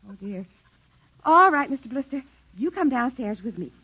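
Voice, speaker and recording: A woman playing a gentle, anxious mother and housewife in a 1950s radio drama, soothing but uneasy. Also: sits high, very animated, normal speed, husky, full character voice. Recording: dull 1950s radio recording.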